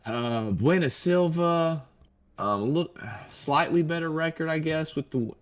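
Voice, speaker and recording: A man's voice: fairly high pitch, unhurried. A man co-hosting a podcast in casual sports chat, teasing and quizzing his partner over fight predictions. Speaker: co-host of an MMA podcast.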